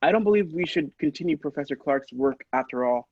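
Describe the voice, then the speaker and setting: High-pitched, husky, anxious doctor played by a man. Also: animated, fairly quick, a little mellow, dry recording, muffled recording. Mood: wary. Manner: worried, earnest. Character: anxious, cautious, conscientious male doctor. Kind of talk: old-time radio play acting.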